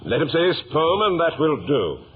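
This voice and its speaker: Strict, mocking father, fairly high voice swinging with scorn, thin hissy vintage radio. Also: big pitch swings, moderate pace, phone-quality sound. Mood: stern scorn. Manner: commanding, sarcastic. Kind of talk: 1950s radio drama acting.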